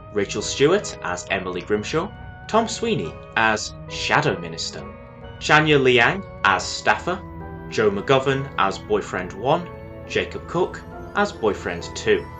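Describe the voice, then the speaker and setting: A man reading scripted closing credits as the announcer of an audio drama. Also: lowish voice.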